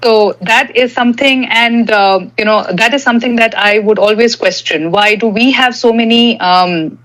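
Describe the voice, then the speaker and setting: A woman from Delhi, answering as a podcast guest in a measured, explanatory way. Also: a little high, a bit bright, poor audio. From Delhi, India. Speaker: podcast guest, history author. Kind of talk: conversational interview answer.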